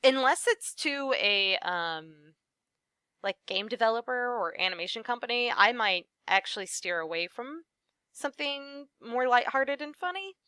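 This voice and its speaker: A young woman, a voice actress, answering interview questions in a lively conversational way with frequent "um" and "you know". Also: a little high, measured pace, clean tone, no room sound, clean studio sound. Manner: animated, many fillers like "um" and "you know". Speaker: voice actress guest.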